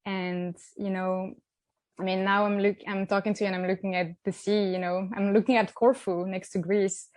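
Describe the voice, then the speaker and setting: A woman's voice: mid-range voice, level tone, moderate pace, darkish tone, no echo. French woman, hesitant, dry close sound. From south of France. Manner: hesitant, many ums and restarts. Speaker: guest, app founder. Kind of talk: interview conversation. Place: outdoors by the sea.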